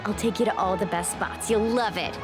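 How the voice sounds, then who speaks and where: A woman's voice: mid-range voice, brisk, close to an everyday voice. An actress playing a confident, friendly young female warrior in a video game, talking in a casual, reassuring way. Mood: reassuring, upbeat. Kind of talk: game voice acting. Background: game music.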